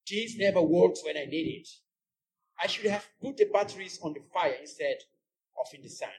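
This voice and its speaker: A man doing an exasperated, husky-voiced housewife. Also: high-pitched, moderate pace, darkish tone. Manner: exasperated scolding outbursts. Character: frustrated lonely woman at home.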